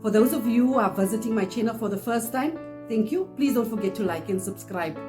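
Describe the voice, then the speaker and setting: A middle-aged woman from South Africa, Afrikaans-speaking, with a South African accent, telling a personal story in earnest, pausing often. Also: on the high side, lively intonation, over music. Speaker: channel host. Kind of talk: personal testimony to camera.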